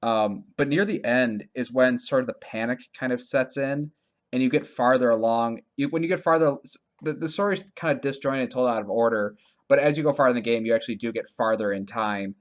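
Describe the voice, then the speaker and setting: Casual, halting male podcast co-host. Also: mid-range voice. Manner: halting, self-correcting, heavy use of "like". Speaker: podcast co-host reviewing video games. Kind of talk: casual conversational game review chat.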